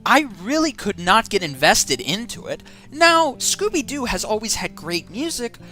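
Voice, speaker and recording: A woman's voice: mid-range voice, fairly bright tone. A woman giving a casual online film review, exasperated and sarcastic as she rants.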